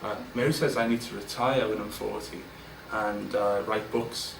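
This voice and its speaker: A man's voice: on the low side, fairly even intonation, normal speed, vocal fry, background noise. A man in his forties speaking candidly and informally to an audience at a business event, with many fillers and restarts. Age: 40s. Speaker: guest speaker. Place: large event room with an audience. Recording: roomy, distant mic with faint ambience.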